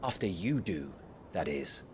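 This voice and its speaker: Very deep, gruff veteran soldier. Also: male voice, big pitch swings, unhurried. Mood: terse, resolute. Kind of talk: dramatic video game acting. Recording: clear background noise.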